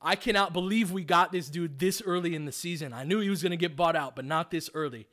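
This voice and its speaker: A man's voice: on the high side, quick pace, fairly bright tone. Man calling live basketball play-by-play. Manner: clipped phrases, analytical, informal. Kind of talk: live play-by-play commentary with tactical analysis.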